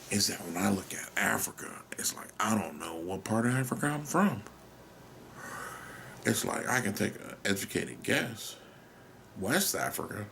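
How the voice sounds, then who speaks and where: A man's voice: lowish voice, vocal fry, fairly bright tone, a little hiss or hum. An American man rambling through a solo monologue, musing and questioning himself, sometimes dropping to a whisper and swearing casually. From United States. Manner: musing, self-questioning, trails off. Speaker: podcast host.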